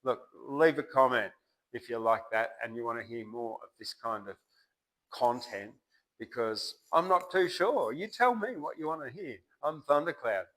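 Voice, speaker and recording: An Australian man reciting a bush ballad theatrically, swinging between loud emphasis and hushed line endings. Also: mid-range voice, expressive, moderate pace, poor audio. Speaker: poetry reciter and channel host.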